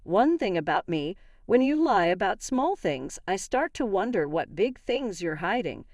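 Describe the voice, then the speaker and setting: A woman reading scripted first-person drama narration with emphatic, expressive storytelling. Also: medium pitch, measured pace, no echo, studio quality.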